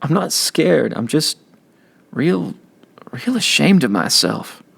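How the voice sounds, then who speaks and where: A man voicing a tearful, ashamed young boy in an audiobook, with halting, pleading delivery. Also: fairly high pitch, big pitch swings, gravelly, fairly bright tone, husky, pitched above the performer's own voice, heavily acted. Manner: weepy, halting, stammering pleas. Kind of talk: audiobook character acting.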